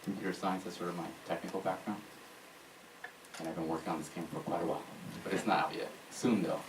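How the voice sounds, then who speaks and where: A man's voice: sits low, little pitch movement, fast talker, crowd noise. A man from the United States who grew up in Germany, talking casually as a game developer on a panel. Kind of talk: casual self-introduction at a panel. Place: live talk event in a room. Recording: echoey room, distant mic, clear background noise.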